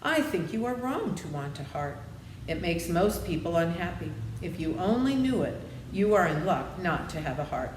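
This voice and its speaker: A woman's voice: fairly low pitch, big pitch swings, moderate pace, reverberant, slight background noise, low-quality recording. A woman voicing an old humbug wizard in a storybook, meek and apologetic, explaining things kindly.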